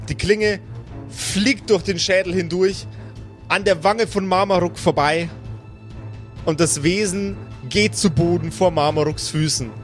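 High-voiced man as game master, music behind. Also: steady tone, unhurried. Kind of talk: improvised narration of a tabletop game. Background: music under the voice.

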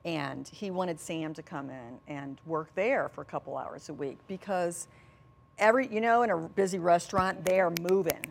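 A woman's voice: fairly low pitch, moderate pace, vocal fry, slight background noise. A mature woman speaking as an interview guest, conversational and anecdotal, repeating phrases for emphasis. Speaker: interview guest, small business representative.

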